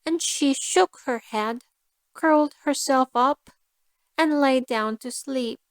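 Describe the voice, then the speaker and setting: A woman reading a scripted fairy tale for language learners, enunciating carefully and deliberately. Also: high-pitched, no echo. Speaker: storyteller.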